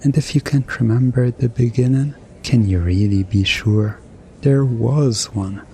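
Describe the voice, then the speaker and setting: A man playing a mischievous, smugly commanding hypnotist in an ASMR roleplay, soothing and teasing with deliberate pauses. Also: mid-range voice, mellow, slight background noise, natural voice. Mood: teasing, hypnotic.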